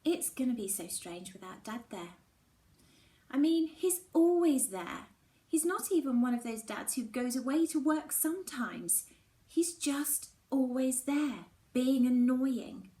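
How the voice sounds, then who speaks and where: A woman's voice: high-pitched, expressive, unhurried, mellow, pitched above the performer's own voice. A woman reading the part of an anxious, downcast schoolgirl in a storybook, conversational and emphatic. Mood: upset. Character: anxious, downcast schoolgirl confiding in her best friend. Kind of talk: storybook character dialogue. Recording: roomy echo with faint background noise.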